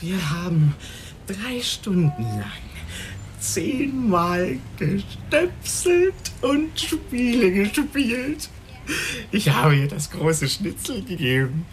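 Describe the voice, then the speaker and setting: High-pitched, childlike, whiny young man. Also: very animated, a little hiss or hum, heavily acted. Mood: dazed, childlike. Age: 20s. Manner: childlike, halting, agitated. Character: simple-minded, whiny, frightened young man under truth serum. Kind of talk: radio drama acting.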